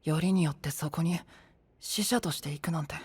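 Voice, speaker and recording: An actor playing a skeptical, casual young knight with a husky voice in an anime-style voice drama. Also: male voice, on the high side, a little fast, a little mellow. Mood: doubtful, reluctant.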